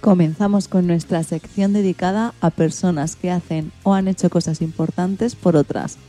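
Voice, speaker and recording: Female host, slightly low pitch, soft mellow tone, scripted programme introduction, light music. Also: steady tone, normal speed.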